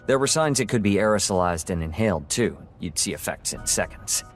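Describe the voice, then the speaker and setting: An actor playing a curious, rambling scientist in a video game, explaining things in a hesitant, musing way. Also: male voice, low-pitched, expressive, brisk, soft background music. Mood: explanatory. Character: male scientist doctor, curious, rambling, awed by alien technology. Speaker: scientist briefing a military team.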